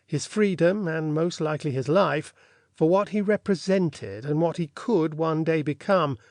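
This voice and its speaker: A man reading an audiobook in a measured, formal and theatrical way, as a scripted literary narration. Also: fairly high pitch, a little mellow, no room sound, studio quality.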